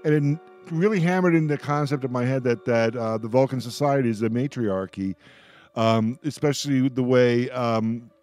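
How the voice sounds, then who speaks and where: Male talk host, mid-pitched, casual and emphatic, circles back over his words. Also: normal speed, a little mellow, soft background music. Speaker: radio host moderating a roundtable. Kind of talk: casual roundtable chat.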